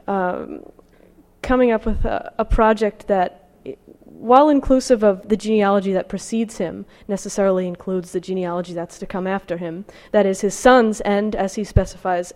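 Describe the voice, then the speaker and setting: A woman giving a scholarly academic talk, presenting research and reading from texts in a careful, somewhat halting way. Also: mid-range voice, a little mellow. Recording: long hall reverb.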